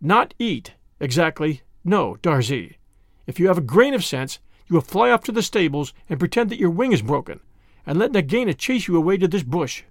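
A man doing a fierce, brisk young mongoose. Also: a little high, sing-song, no echo, clean studio sound. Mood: impatient. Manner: brisk and determined.

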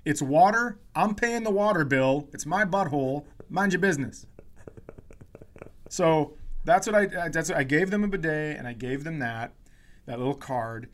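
A man's voice: on the high side, lively intonation, normal speed. A man who hosts a podcast as a comedian, telling stories casually with swearing, mock indignation and quoted dialogue.

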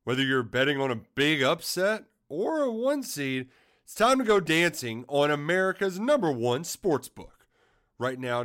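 A man delivering an upbeat, scripted ad read as an announcer. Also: on the high side. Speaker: advertisement announcer.

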